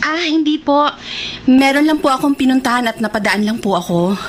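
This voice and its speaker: A woman's voice: high voice, steady tone, fairly quick, faint music behind, natural voice. An actress playing a frank, slightly hurt young woman in a radio drama, with a pointed manner. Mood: polite. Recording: faintly noisy radio drama recording.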